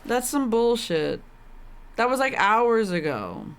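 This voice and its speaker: A woman's voice: fairly high pitch, big pitch swings, slow pace, clear, resonant tone. A young woman livestreaming a game in casual chat, with a playful, sarcastic manner. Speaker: game streamer.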